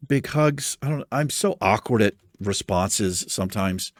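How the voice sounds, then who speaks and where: A man from the United States reading viewer comments in casual chat, animated and chatty with a soothing voice and casual swearing. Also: lowish voice, a little slow, no room sound, clean studio sound.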